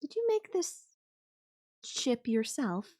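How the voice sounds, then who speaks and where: A woman voicing a whimsical, flippant goddess in an ASMR roleplay, musing airily with long dramatic pauses. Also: high-pitched, big pitch swings, mellow, pitched above the performer's own voice, exaggerated voice. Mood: playful, friendly. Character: whimsical, airy, flippant yandere goddess watching Earth die. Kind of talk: ASMR audio roleplay acting.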